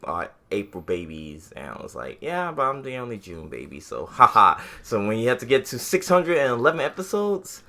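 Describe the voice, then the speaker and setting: A man co-hosting a podcast in casual banter, playful and excitable, with exclamations that swoop up high. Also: a little fast, vocal fry, hardly any pauses. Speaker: podcast co-host.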